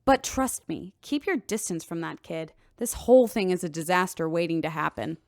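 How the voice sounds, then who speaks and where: A woman's voice: fairly low pitch, normal speed, vocal fry, no echo, studio quality. An actress playing a brusque, distrustful woman on the crew in an audio drama.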